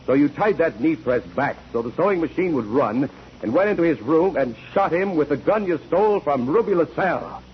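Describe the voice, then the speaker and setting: An American actor playing a sharp, methodical courtroom investigator in a 1940s radio drama, precise and probing. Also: male voice, a little high, lively intonation, fairly quick, natural voice. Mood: accusing. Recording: dull, noisy 1949 radio recording.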